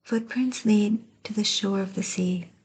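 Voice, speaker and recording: A woman softly whispering an ASMR poetry reading in a breathy, gentle, soothing and deliberate manner. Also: mid-range voice, fairly even intonation, low-quality recording.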